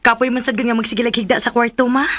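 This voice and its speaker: An actress playing a meek, nervous young daughter in a radio drama, speaking hesitantly. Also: female voice, fairly high pitch, little pitch movement, fast talker, fairly bright tone. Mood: mild, weary.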